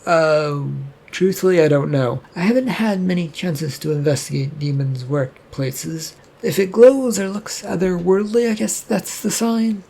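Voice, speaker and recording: A man reads the part of a wry male investigator in an audio story. He talks in a casual, halting, sardonic way, with a raspy voice pitched a bit high. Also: dark tone, exaggerated voice. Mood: hesitant, defensive.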